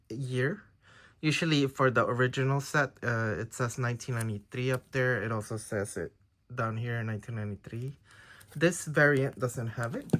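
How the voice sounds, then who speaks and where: A man's voice: mid-range voice, fairly bright tone. A man whose first language is Tagalog talks casually through a pile of trading cards, often unsure of the details. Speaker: trading card collector. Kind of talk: casual show-and-tell of a card haul.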